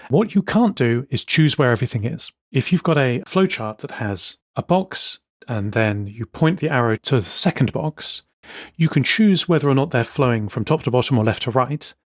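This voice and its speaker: A man co-hosting a casual podcast, explaining his tools with enthusiasm and quick asides. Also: medium pitch, dry recording, studio quality. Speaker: podcast co-host. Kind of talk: casual chat.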